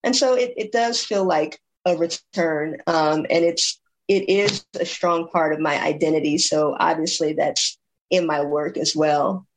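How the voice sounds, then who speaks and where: Author on a panel: woman from Chicago, lowish voice, pauses and restarts as she thinks. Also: poor audio. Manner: thoughtful, many ums and restarts. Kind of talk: panel discussion.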